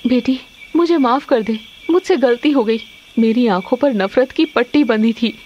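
Woman playing an emotional, high-voiced mother. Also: normal speed, dull audio, a little hiss or hum, lightly acted. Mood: remorseful, tender. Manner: earnest, dramatic. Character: emotional, remorseful Indian mother and wife.

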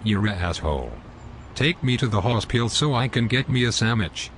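A man voicing a rude, scruffy homeless man in an amateur animated comedy, with slow, sulky, insulting speech. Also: mid-range voice, a little hiss or hum, close to an everyday voice. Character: rude scruffy homeless man who lies about everything.

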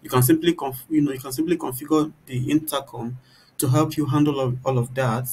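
A man's voice: mid-range voice, fairly even intonation, brisk, darkish tone. Man teaching, noisy background. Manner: explanatory, poses rhetorical "what?" questions mid-sentence. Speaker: tutorial presenter. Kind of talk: informal software tutorial narration. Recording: clear background noise.

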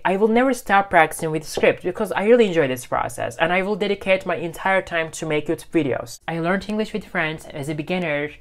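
A young man in his twenties with a Turkish accent, chatting animatedly to camera about learning English, full of fillers like "you know" and "like". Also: on the high side. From Turkey. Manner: animated, gestures with both hands, loose filler-heavy phrasing. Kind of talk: casual talk to camera. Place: small bedroom at home.

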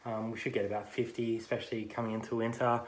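A man in his thirties with an Australian accent, casually talking through his thrift finds for a vlog, relaxed and full of ums. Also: fairly low pitch, fast talker, reverberant, rough recording. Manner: relaxed, many ums, chatty. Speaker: vlogger and reseller. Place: car, then a small living room. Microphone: phone camera at arm's length.

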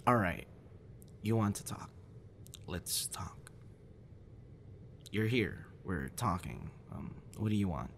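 Male actor as guarded young friend in an audio drama: lowish voice, slow hesitant pacing, stammers. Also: expressive, creaky, fairly bright tone, slight background noise, exaggerated voice. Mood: curt, guarded. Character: busy, guarded young man, awkward with close friend. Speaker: audio roleplay performer. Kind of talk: intimate ASMR boyfriend roleplay acting.

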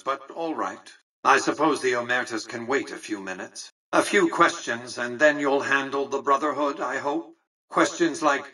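A man playing an aged, imperious industrialist in a video game, speaking formally and condescendingly with precise, measured diction. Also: medium pitch, pushed and bright, husky, far from the mic, rough recording. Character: aged, shrewd, imperious industrialist tycoon ruling a casino city. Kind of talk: video game character dialogue.

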